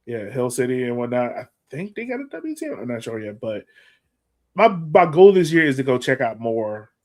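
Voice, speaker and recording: A man's voice: mid-range voice, big pitch swings, moderate pace. A man hosting a casual soccer podcast, chatting in a slangy, informal way. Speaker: podcast host.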